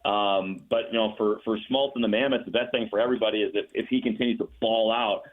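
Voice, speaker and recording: A man speaking over a tinny phone line, his voice a little low. He talks in a chatty, fact-heavy way, often restarting his sentences, as a guest on a radio show. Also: fairly even intonation, fast. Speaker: radio play-by-play announcer, guest. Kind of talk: casual radio interview.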